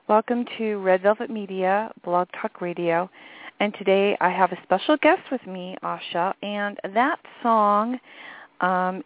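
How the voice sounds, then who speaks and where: A woman hosting an internet talk radio show, upbeat and chatty, a little raspy and tired from allergies. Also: fairly low pitch. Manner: upbeat, enthusiastic, some filler ums. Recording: phone-line call-in radio audio.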